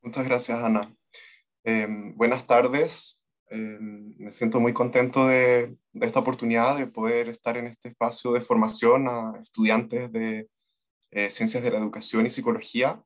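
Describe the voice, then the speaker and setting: A man with a Chilean Spanish accent presents an online lecture as an educator. His voice sits at a middle pitch, and he builds his sentences in a halting, searching way. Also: little pitch movement. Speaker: teacher trainer giving an online talk. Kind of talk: webinar presentation to students. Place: remote online video call from Santiago.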